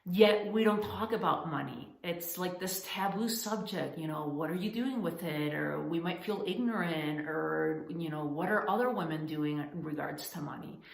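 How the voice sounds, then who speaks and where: A woman from Spain talks straight to camera in an earnest, encouraging way, with a fairly low voice for a woman. Her sentences are full of small restarts and fillers as she works out what to say. The room around her is echoey. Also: normal speed, creaky, few pauses. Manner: earnest, encouraging, addresses women as ladies. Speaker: founder of a coaching channel. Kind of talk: direct-to-camera advice talk.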